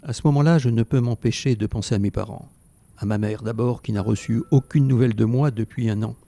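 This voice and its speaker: Actor as gravelly, mellow Resistance fighter remembering. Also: male voice, medium pitch, fairly quick, close to an everyday voice. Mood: tender, longing. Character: reflective French Resistance fighter recounting his wartime memories. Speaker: narrator. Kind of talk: first-person memoir narration in an audio drama.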